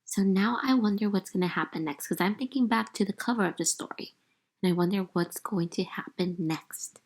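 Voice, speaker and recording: Female primary teacher, mid-pitched voice, guiding children through a storybook with expressive delivery and direct questions. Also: brisk. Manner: expressive, addresses young listeners directly with questions. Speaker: teacher reading aloud to young children. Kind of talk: interactive picture-book read-aloud with commentary.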